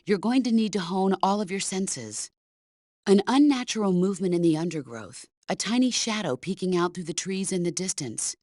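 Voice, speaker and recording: A woman's voice: lowish voice, no echo, studio quality. A middle-aged woman playing a stern, commanding veteran soldier who mentors her former protégé in a video game. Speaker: military mentor character. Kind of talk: video game voice acting, radio briefing dialogue.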